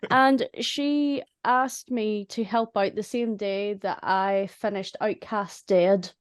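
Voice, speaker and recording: A woman's voice: mid-range voice, fairly even intonation, slow pace, smooth, dry recording. A woman chatting casually in a group as a writer of audio drama. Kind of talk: casual group chat about projects.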